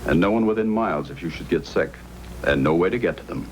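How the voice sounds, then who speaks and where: Commanding Yukon Mountie, lowish and animated, vintage radio serial with background noise. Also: male voice, fast talker. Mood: concerned, helpful. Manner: commanding, questioning, firm. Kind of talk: old-time radio acting. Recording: noisy old radio drama recording.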